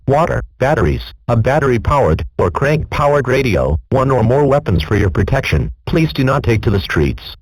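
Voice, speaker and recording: A man reading a scripted emergency broadcast announcement in a formal, measured, impersonal manner. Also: mid-range voice, husky, dry recording, poor audio.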